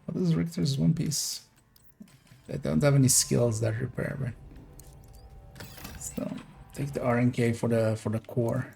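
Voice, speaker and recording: A man from Iran with an accent that mixes Iranian, American and Dutch English, giving relaxed, rambling gameplay commentary as a streamer. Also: mid-range voice, unhurried, vocal fry, long pauses, faint music behind. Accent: Iranian mixed with American and Dutch English. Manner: relaxed, rambling explanations with little laughs.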